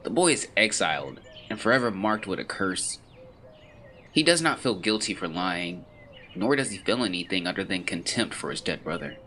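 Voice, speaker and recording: An actor plays a brooding man from the 1920s, consumed by guilt, delivering a formal written confession. His voice is forceful and edged, swinging widely in pitch while staying solemn and deliberate. Birdsong and outdoor ambience sit behind him in this audio drama. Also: expressive, a little hiss or hum. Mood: grave, bitter.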